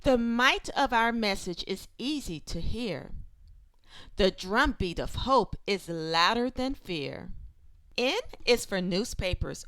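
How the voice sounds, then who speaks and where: A woman reading a rhyming children's picture book aloud in an expressive, deliberate way, with emphatic phrasing and dramatic pauses. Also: a little high, clear, resonant tone, no room sound.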